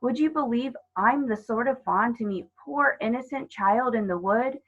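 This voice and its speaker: Woman voicing a guilt-ridden, tearful male faun, husky. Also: on the high side, moderate pace, lo-fi sound, pitched above the performer's own voice, close to an everyday voice. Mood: guilty, confessing. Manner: melodramatic, pleading. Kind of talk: children's storybook character voice.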